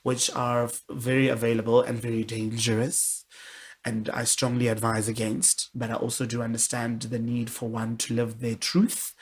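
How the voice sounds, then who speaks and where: A young woman with a deep voice, speaking candidly and expressively as a guest in a casual interview. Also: moderate pace. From South Africa. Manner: candid, expressive, with many restarts.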